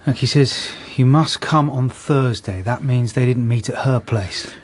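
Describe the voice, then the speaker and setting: A man playing a methodical, level-headed police detective in a naturalistic radio drama, brisk and matter-of-fact. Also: mid-range voice, natural voice. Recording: radio drama with faint background ambience.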